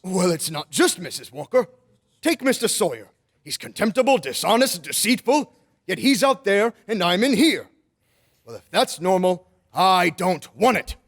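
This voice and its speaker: Casting: elderly, kindly Santa figure male actor, high-pitched and very animated, old-time radio style. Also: normal speed, full character voice. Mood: wounded, indignant. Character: jolly elderly gentleman who believes he is Santa Claus.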